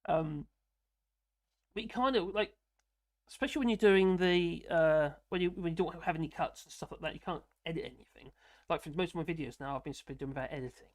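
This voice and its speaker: Light-voiced British man chatting casually. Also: fairly quick. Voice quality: fairly high voice for a man. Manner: rambling, self-deprecating, chuckling often. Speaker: live stream host. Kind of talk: casual live chat reading comments.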